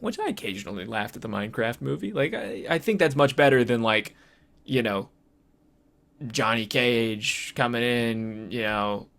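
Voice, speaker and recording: A man's voice: mid-range voice, lively intonation, normal speed, gravelly, a bit bright. Hesitant, meandering man in casual chat. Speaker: podcast co-host. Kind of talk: casual film discussion chat.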